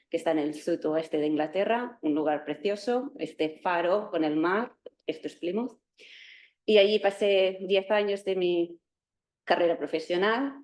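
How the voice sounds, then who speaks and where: A woman's voice: lowish voice, darkish tone. A woman from Catalonia in her forties, a native Catalan speaker, giving a university talk with some fillers and restarts. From Catalonia, Spain. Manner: self-introducing, explanatory, with fillers and restarts. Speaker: university lecturer. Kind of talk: academic talk to students.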